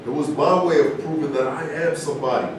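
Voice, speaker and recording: A man's voice: a little high, steady tone, fairly quick, lightly acted. A man as a proud fallen champion, very echoey. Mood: proud, defiant. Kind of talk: staged play reading. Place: large indoor room at a live staged reading, distant mic. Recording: very echoey, noisy room from far off.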